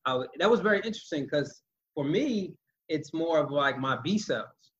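A man's voice: fairly high pitch, lively intonation, normal speed, a bit bright, dull audio, slight background noise. A man hosting a casual interview, talking in a friendly, loose way and often repeating words. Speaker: interview host. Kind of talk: casual interview over a call.

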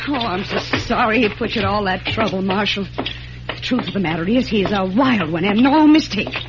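A woman's voice: medium pitch, normal speed, loud music behind the voice, close to an everyday voice. An actress playing a fretful, long-suffering frontier mother with a folksy rural American accent in an old radio western. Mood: exasperated. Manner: folksy, complaining. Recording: muffled, faintly hissy vintage radio recording.

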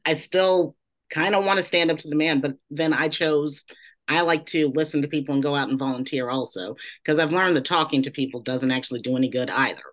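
A middle-aged woman shares a casual reflection in a class discussion. Her voice is gravelly with a cold, and she rambles conversationally, leaning on "like" and loose trailing phrases. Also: sits low, brisk. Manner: rambling, with frequent "like" and trailing "and everything". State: has a cold. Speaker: class participant.

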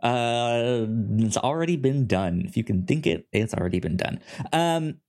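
A man co-hosting a podcast in a casual movie review chat, speaking in a halting, self-interrupting way. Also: mid-range voice, lively intonation, darkish tone. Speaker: podcast co-host.

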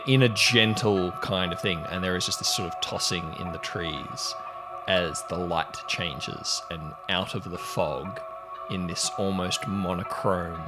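A man narrating a tabletop roleplaying game as game master, telling the story suspensefully in the second person with deliberate pauses. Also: deep voice. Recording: prominent music under the voice. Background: music.

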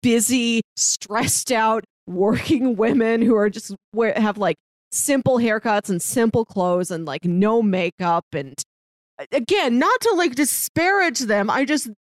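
A woman's voice: on the high side, lively intonation, unhurried, no echo. A woman co-hosting a podcast in casual chat, talking chattily and qualifying her opinions as she goes. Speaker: podcast co-host. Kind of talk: casual chat about a TV drama.

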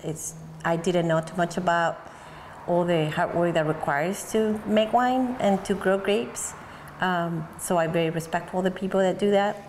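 A woman's voice: fairly low pitch, normal speed, darkish tone. A woman from Mexico with a Mexican accent, in her forties, talking thoughtfully and searching for words in an oral history interview. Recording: long hall reverb, faint background noise.

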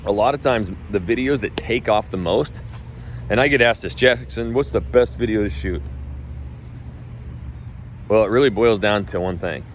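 A man with a low voice talks bluntly outdoors, and he swears often and hits his points hard. Faint traffic noise from the street runs under his speech. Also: moderate pace, pauses often. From North Idaho. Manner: blunt, profane and emphatic. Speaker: real estate agent and coach. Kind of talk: casual talk.

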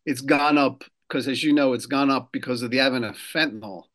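Man explaining policy, halting with fillers. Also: mid-range voice, a bit bright. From New Jersey. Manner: measured, many fillers and restarts. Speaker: guest, political consultant. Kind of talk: radio interview. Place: lives in Rhode Island.

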